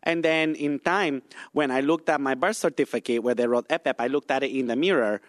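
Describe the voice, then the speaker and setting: A man's voice: medium pitch, a little fast, no room sound, clean studio sound, lightly acted. A man playing a flamboyant comic character with a foreign accent and muddled English, playful and mock-indignant in an improvised podcast interview. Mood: chatty, whimsical.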